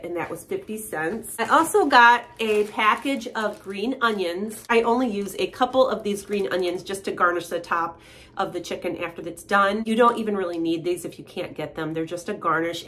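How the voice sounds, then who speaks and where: A woman's voice: medium pitch, a bit bright. Nasal congested woman vlogging. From United States. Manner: chatty, practical, explains prices step by step. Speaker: keto cooking channel host. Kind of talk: casual home cooking vlog.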